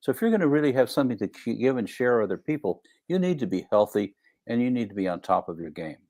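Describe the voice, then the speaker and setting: A man's voice: medium pitch, sing-song, quick pace, warm tone. A man speaking as a guest doctor in a live discussion, explaining things in emphatic, declarative statements.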